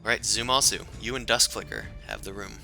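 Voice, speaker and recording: A man narrating as game master in a tabletop game, chatty and relaxed with many fillers. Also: lowish voice, brisk, gravelly, faint music behind.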